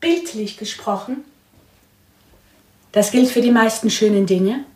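An actress playing a poised, guarded, cultivated hostess in a radio drama, polite and lightly ironic. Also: female voice, a little high, unhurried, darkish tone, close to an everyday voice. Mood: ironic, composed. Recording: echoey room.

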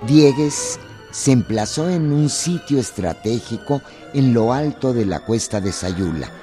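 A man's voice: medium pitch, lively intonation, a little slow. A man narrating history from a script for a radio drama. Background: string music.

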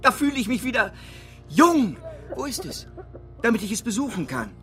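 An actor playing an imperious, volatile financier in a German radio drama, with theatrical delivery that swings from hushed to explosive. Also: male voice, very high-pitched, very animated, normal speed, soft background music, full character voice. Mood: delighted.

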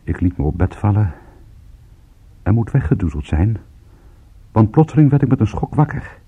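A weary private eye in a radio drama. His voice is lowish, mellow and husky. He talks in a wry, laconic way. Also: very animated, moderate pace, full character voice. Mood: brooding narration. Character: world-weary, wry male private detective. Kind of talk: radio drama acting with first-person narration.

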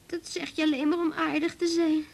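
An insecure little schoolgirl in a radio drama. The voice is extremely high and childlike, with a hesitant, timid delivery that sounds easily upset. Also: little pitch movement, fairly quick, gravelly. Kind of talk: Dutch radio play acting.

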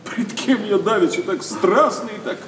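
A man's voice: high voice, moderate pace, fairly bright tone. An actor playing a heartbroken, bitterly indignant young nobleman, declaiming classical verse with sarcasm in a radio play. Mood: bewildered, wounded. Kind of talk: classical verse stage acting. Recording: echoey hall, distant, faint noise.

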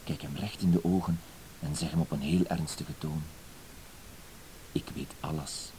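A man's voice: rumbling low, steady tone, a little slow, dark tone, low-quality recording. An actor playing a fussy, hesitant, apologetic blackmailer in a radio play, circling around his point with verbal tics. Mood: quietly menacing. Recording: hissy old radio play recording.

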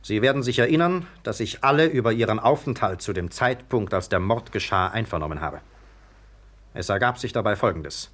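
Stern, insistent police sergeant. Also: male voice, on the low side, animated, a little fast, fairly bright tone. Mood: stern, official. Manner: commanding, clipped, insistent. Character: stern, suspicious police sergeant interrogating suspects. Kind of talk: 1950s radio drama acting.

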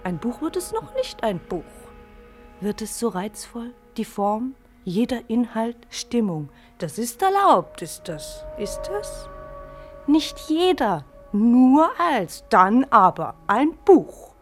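An actress playing a restless, guarded night-shift worker with curt, evasive delivery in a German radio drama. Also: female voice, fairly high pitch, very animated, slow pace. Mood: brooding. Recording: music behind the voice.

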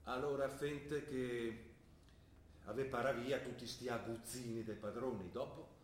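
A man's voice: mid-range voice, fairly even intonation, unhurried, distant mic, slight background noise, natural voice. An actor playing a skeptical older father, a plain-spoken shopkeeper questioning his daughter. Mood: challenging. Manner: earnest, probing, plain-spoken. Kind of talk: stage play acting. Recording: roomy stage recording, voice from afar.

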